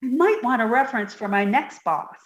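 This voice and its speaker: Scatterbrained young secretary played by a woman, very high and lively, naive and literal, 1950s radio comedy style, roomy recording. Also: a little fast, close to an everyday voice. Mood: naive, earnest. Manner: guileless, earnestly literal.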